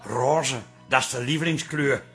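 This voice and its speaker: An actor playing a jealous, flustered middle-aged husband, stammering and exasperated in a comic radio play. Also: male voice, mid-range voice, normal speed, a bit bright, soft background music, close to an everyday voice. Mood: sheepish, hopeful.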